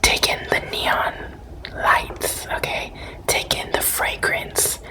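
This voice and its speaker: A woman's voice: takes it slowly, lightly acted. A woman doing a whispered ASMR roleplay as a flamboyant, self-important celebrity shop owner, theatrical, boastful and sassy.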